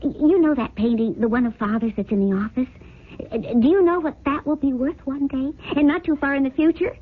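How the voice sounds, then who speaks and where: Actress as high-voiced, earnest wife, old radio. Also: female voice, brisk, natural voice. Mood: excited. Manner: breathy excitement, earnest, theatrical. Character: excitable, trusting, affectionate wife devoted to art. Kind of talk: 1970s radio drama acting. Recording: phone-like vintage radio recording.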